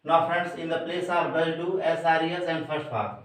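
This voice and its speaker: Indian guy teaching grammar, super slow n emphatic, really echoey room, mic kinda far off. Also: a little high, steady tone. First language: Hindi. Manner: deliberate, emphatic, repeatedly addresses listeners as friends. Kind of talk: instructional grammar lesson to camera. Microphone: distant microphone.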